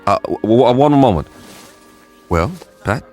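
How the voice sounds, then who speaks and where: A man's voice: mid-range voice, a little slow, soft background music, natural voice. An actor playing a breezy, eager male traveler in a radio drama, stammering and repeating words. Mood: flustered. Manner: stammering, repetitive, animated.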